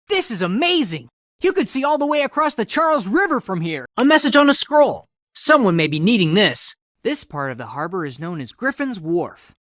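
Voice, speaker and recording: Eager boy reporter, colonial American, game character, very lively pitch, dry close sound, earnest and explanatory. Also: dry recording. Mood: eager, curious. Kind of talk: scripted game character dialogue.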